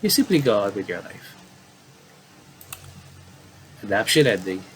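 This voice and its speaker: Guy with kinda low voice doing a chill game playthrough, reads text out and stumbles, faint noise. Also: very animated, unhurried. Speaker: video game commentator. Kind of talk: casual playthrough, reading visual novel text aloud.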